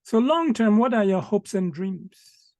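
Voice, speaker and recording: A man working as an interviewer, speaking politely and conversationally, attentive and quick to offer short acknowledgements. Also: high voice, lively intonation, a little mellow, no echo.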